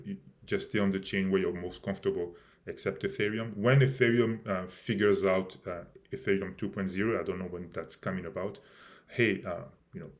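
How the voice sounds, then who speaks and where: A man hosting a live stream about his project, speaking haltingly with many fillers and restarts, and losing his voice a little. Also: sits low. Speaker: crypto project host.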